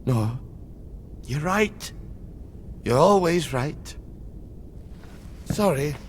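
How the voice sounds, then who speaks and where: An unstable older soldier for a video game. He is gruff and erratic, talking slowly in a highish voice that swings up and down. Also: lively intonation, a little hiss or hum. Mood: erratic, confiding.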